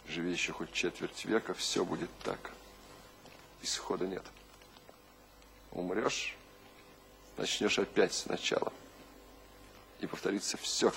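An actor playing a despairing, brooding poet weary of life, speaking heavily in an anguished, sometimes hushed dramatic audio play delivery. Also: male voice, sits low, vocal fry, slight background noise, heavily acted. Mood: bleak resignation. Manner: slow, heavy, anguished delivery with hushed passages. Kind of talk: dramatic audio play monologue and verse recitation.

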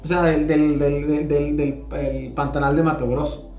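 A Venezuelan man co-hosts a conversational podcast in an explanatory way. He often tags his points with a quick check for agreement. The room is echoey and music plays behind him. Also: a little high, flat delivery, a little mellow. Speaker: podcast co-host. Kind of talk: casual conversational discussion. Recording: roomy echo with music behind.

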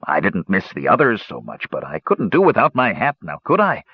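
A man's voice: sits low, huge pitch swings, normal speed, breathy edge, no room sound, lo-fi sound, full character voice. A man voicing a sheepish, wheedling dwarf gambler in a fantasy audiobook, confessing with hedges and sighs. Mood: sheepish, wistful. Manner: hesitant, hedging, sighing confessions with folksy turns.

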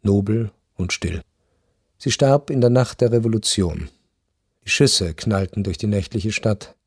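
A man in a radio play acting a melancholy, detached baron who tells his story in measured, sombre literary narration. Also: lowish voice, darkish tone, slightly rough, dry recording, studio quality. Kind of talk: literary first-person narration in radio drama.

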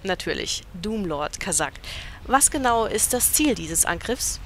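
Actress as a deadpan reporter, brisk and matter-of-fact. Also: female voice, mid-range voice, slight background noise, lightly acted, poor audio. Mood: dry. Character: dry, unimpressed female news reporter. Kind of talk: parody audio drama.

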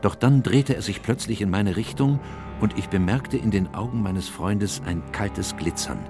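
A man plays a gentleman narrator, the watchful, loyal friend of a detective. His voice sits low and moves in a measured, grave storytelling manner. Music runs clearly behind this radio drama narration. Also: natural voice. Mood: grave, reflective. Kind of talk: scripted first-person radio drama narration.

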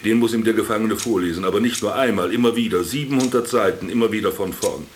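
A lowish male voice from an actor plays a wry, worldly man stranded in a remote camp. He talks in an ironic, condescending radio-drama manner, fond of hearing himself explain things. Some background ambience sits behind the voice. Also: a little fast, slight background noise, lightly acted. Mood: storytelling. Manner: ironic, patronizing, addresses the other formally. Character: Philipp, stranded ex-businessman, wry, garrulous, cynical camp companion.

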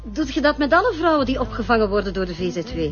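An actress playing a coy, hesitant young Flemish woman, a flattered novice model in a TV crime drama. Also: fairly high pitch, racing. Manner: hesitant, giggly. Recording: dull TV soundtrack, music behind.

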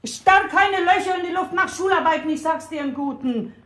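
Radio drama: stern, bossy pub landlady and mother, high-pitched, roomy echo. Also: normal speed, a bit bright. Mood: scolding. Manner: bossy, impatient. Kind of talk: German radio drama acting.

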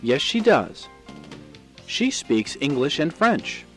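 American guy reading english drill questions, really slow, higher voice with lots of melody. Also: very animated, over loud music. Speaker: English lesson presenter. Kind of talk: scripted instructional question drill.

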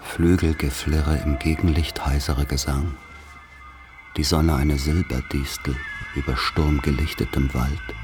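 An actor in a radio play playing a grave man who recalls a dark rural childhood, speaking in solemn, measured, poetic phrases with a husky voice. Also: a bass voice, steady tone, over music. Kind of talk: literary radio play monologue.

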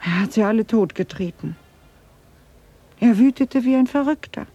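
An actress playing a haunted middle-aged woman in a German radio drama, confiding grave family guilt in a halting, husky voice. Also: fairly high pitch, expressive, mellow, dry recording. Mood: haunted, confessing. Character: haunted Dutch woman confessing her family's wartime guilt. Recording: slightly dull 1980s radio recording.

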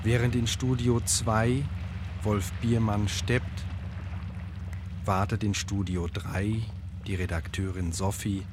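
An actor playing a deadpan narrator, reading radio play scenes in a deliberate, matter-of-fact way. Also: male voice, fairly low pitch, background noise. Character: deadpan male narrator of absurd studio scenes.

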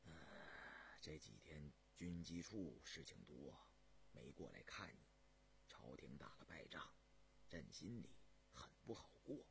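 Heavy-hearted, commanding emperor; deep hushed murmur, halting and slow, for audiobook. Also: male voice, animated, in a whisper, heavily acted. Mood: dejected, strained. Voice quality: low, hushed, breathy murmur. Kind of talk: dramatised audiobook character voice.

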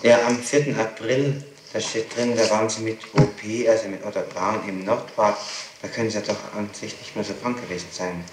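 Guy interrogating someone on noisy old 1960s tape, lowish voice, keeps pressing for details. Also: steady tone, fairly quick, reverberant. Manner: probing, pressing for dates and details. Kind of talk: questioning in a recorded interrogation.

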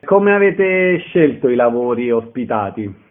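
A man's voice: mid-range voice, sing-song, a little slow. A man hosting an informal radio interview, introducing guests and asking questions. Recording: dull radio sound with background voices.

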